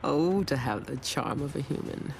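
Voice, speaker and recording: An actress playing a cocky, sarcastic synthetic sniper in a video game, sly and dry in delivery. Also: female voice, very deep voice, normal speed, creaky, noisy background. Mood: smug. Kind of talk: video game character acting.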